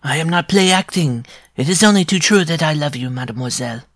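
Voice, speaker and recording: A man reading a story aloud, voicing a tiny, proud and jealous circus dwarf in a shrill, pompous, impassioned voice. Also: lively intonation, brisk, fairly bright tone, no room sound, clean studio sound. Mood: ardent.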